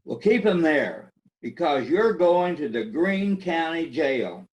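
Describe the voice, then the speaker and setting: A man's voice: fairly high pitch, big pitch swings, takes it slowly, lo-fi sound. A man playing a plainspoken, folksy small-town lawman in an amateur readers theater mystery. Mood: stern. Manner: plainspoken, countrified turns of phrase.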